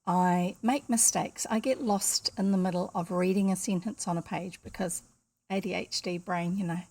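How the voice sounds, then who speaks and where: Older New Zealand woman, gravelly and husky. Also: lowish voice, fairly even intonation, normal speed, a little mellow. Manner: chatty, rambling, interrupts herself to explain. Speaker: storyteller reading books aloud. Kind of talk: casual read-aloud with chatty asides. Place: home desk with a computer.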